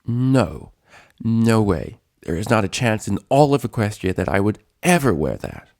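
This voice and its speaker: A man's voice: fairly low pitch, big pitch swings, measured pace, gravelly, a little mellow, exaggerated voice. A man voicing a brash, stubborn young female cartoon pony with a blunt, defiant manner. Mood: indignant.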